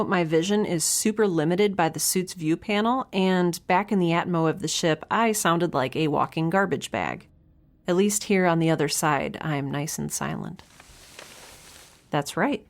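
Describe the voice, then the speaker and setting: An actress playing a young researcher alone on a spaceship, chatting into her log in a wry, rambling, nervous and self-deprecating way. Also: fairly low pitch. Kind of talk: audio drama, spoken log in a casual chatty manner.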